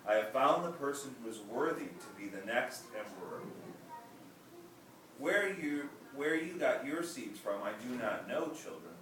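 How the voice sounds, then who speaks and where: Guy doing old emperor from a kids storybook, loud n grand, declaiming, really echoey and distant. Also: medium pitch. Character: very old, stately storybook emperor, kindly and commanding. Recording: echoey room, distant mic, background noise.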